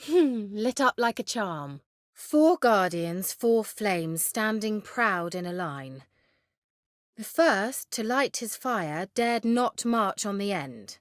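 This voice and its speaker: Snooty sorceress for a fantasy video game, sardonic n teasing, crisp wording, very dry studio sound. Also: female voice, medium pitch, lively intonation, slow, no echo. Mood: musing, reciting. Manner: haughty, wry, teasing, articulate. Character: haughty, sardonic, sly sorceress in a fantasy world.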